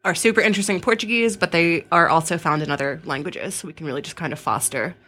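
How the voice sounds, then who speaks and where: A woman co-hosting a podcast, chatting in a friendly, explanatory way. Her voice is fairly low but strikingly bright. She speaks casually and informally. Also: quick pace. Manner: friendly, explanatory, says y'all. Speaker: podcast co-host.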